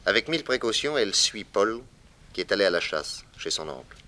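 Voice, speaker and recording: A man's voice: lowish voice, sing-song, fairly quick, bright, forceful tone. A man acting a shrewd, confident private detective in an emphatic, declamatory 1960s French radio crime drama style. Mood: dramatic explaining. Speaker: detective hero and narrator.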